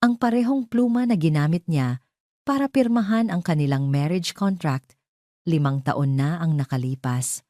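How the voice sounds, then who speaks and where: Low-voiced mellow woman narrating drama. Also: moderate pace, dry recording, studio quality. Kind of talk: scripted audio drama narration.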